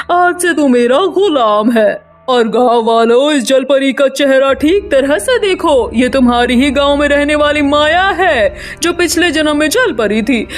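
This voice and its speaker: A woman voicing a commanding, protective witch with supernatural powers in a cartoon story, speaking in a declamatory way. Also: high-pitched. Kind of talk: Hindi cartoon story dubbing.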